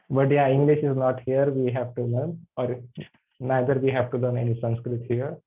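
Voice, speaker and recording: A man's voice: mid-range voice, fairly even intonation, unhurried, long pauses. Man, Indian accent, yoga anatomy teacher, tinny video call audio, friendly questions. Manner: friendly, asks students questions. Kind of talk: online class teaching.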